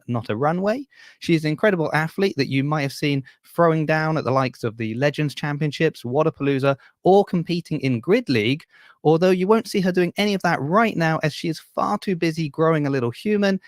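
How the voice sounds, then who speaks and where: An upbeat man hosts a relaxed fitness chat, keeping things light and poking fun at his guests. His voice sits in a middle register. It is recorded close and dry, with no room sound. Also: medium pitch, no echo. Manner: upbeat, playful and teasing. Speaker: podcast host. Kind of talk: casual interview chat.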